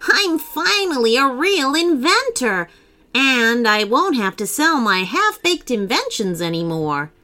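A woman voicing a nervous, excitable male robot inventor in a children's story, gasping and pleading dramatically. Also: sits high, a little slow, pitched above the performer's own voice, heavily acted. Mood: proudly happy.

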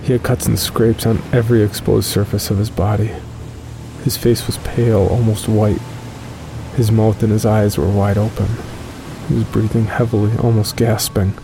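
A man playing a shaken, weary caver who tells his horror story from a journal in a measured, solemn way. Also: on the low side, level tone, background noise, lightly acted. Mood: weary, grim. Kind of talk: first-person horror narration.

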